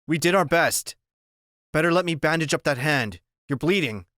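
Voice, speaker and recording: A man voicing a gentle, weary woman doctor who speaks in a soft, earnest, consoling way. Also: on the high side, animated, normal speed, no echo, clean studio sound.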